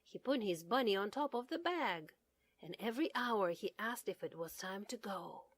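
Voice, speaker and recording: A woman's voice: medium pitch, animated, normal speed. A woman narrating a children's story as a read-aloud. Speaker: storybook reader.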